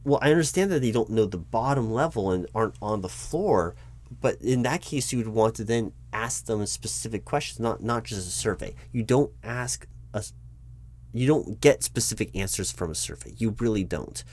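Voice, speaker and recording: A man playing a flustered human resources manager in an audio roleplay, explaining insistently to his boss in a halting, repetitive way. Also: lowish voice, expressive, darkish tone. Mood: insistent, lecturing. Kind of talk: one-sided improvised audio roleplay, loud spoken.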